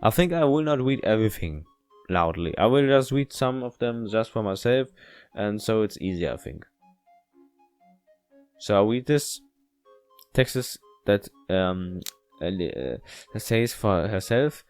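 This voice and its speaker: A man with a fairly low voice and a non-native English accent hosts a let's play. He reads the story out loud in a halting, stumbling way and drops into casual asides. Soft music plays behind him. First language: not English. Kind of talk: reading a visual novel aloud with casual asides.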